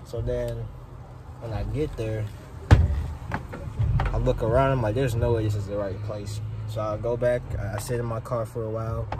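A man's voice: lowish voice, flat delivery, unhurried, long pauses. A young man chatting casually and informally in slangy speech, as a technician vlogging on the job. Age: young adult. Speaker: rookie HVAC technician vlogging. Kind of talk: casual vlog chat. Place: outdoors beside air-conditioning units and vehicle. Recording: very noisy outdoor phone audio, vehicle sounds.

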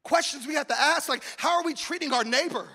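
A high, bright-voiced man preaches to a congregation from a church stage, holding his mic close. He surges into shouted calls and then drops to soft, hushed confession. He is a pastor in his forties. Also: fairly quick. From United States. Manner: swings from shouted preaching to hushed intimate talk. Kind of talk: sermon. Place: large church stage with audience. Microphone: handheld mic.

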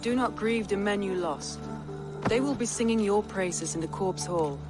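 A woman's voice: mid-range voice, normal speed, over music, natural voice. An actress playing a proud, resolute Viking woman warlord in a video game, speaking solemnly and with command. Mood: warm, grateful. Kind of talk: dramatic video game acting.

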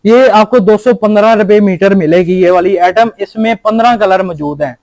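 A young man selling cloth in a warehouse, persuasively pitching fabrics and repeating prices. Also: normal speed, darkish tone, dry recording. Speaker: cloth wholesaler. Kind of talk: sales pitch.

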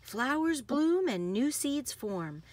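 A woman reads a children's picture book aloud expressively, with deliberate phrasing and emphasis on action words. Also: high voice, very animated. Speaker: read-aloud host.

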